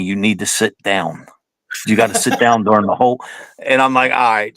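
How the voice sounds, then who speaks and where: A man's voice: mid-range voice, sharp tone, no room sound, poor audio. A man in his fifties, a guest on an online show, chatting loosely and telling stories, often calling his host "man". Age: 50s. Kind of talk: casual interview chat.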